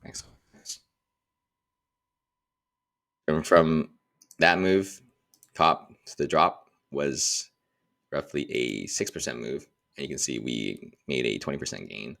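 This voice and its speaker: A man giving a casual market update as a trader, talking informally and thinking aloud in a halting way as he goes. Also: rumbling low, gravelly, a bit bright. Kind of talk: casual screen-share market commentary.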